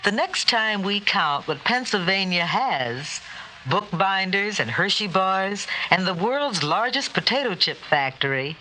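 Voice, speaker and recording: A male narrator delivers a formal, measured radio feature. The voice is bright and crisp-edged, with faint noise of an old recording. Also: high voice, rough recording. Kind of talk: scripted radio public-service narration.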